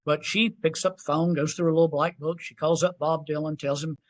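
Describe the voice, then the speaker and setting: A man in his sixties with a Southern US accent, a podcast guest telling stories in an animated, self-deprecating way. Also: fairly high pitch, fairly quick. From North Carolina. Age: 60s. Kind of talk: storytelling interview.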